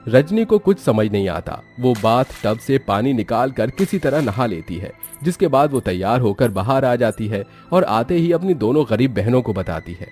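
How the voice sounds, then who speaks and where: A man's voice: medium pitch, very animated, normal speed, few pauses, a little mellow, faint music behind. A man narrating a scripted moral story.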